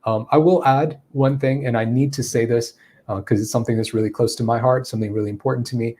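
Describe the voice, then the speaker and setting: A man teaching English, answering viewers' questions in a relaxed explanatory way with frequent fillers. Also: mid-range voice, fast talker, slightly rough. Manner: explanatory, thinking aloud with fillers.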